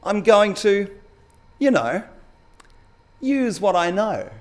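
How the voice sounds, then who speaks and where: A man's voice: sits high, very animated, slow pace, pitched above the performer's own voice, full character voice. A man reading the part of an injured, vengeful cyclist in a story, emphatic and colloquial. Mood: bitter, insistent.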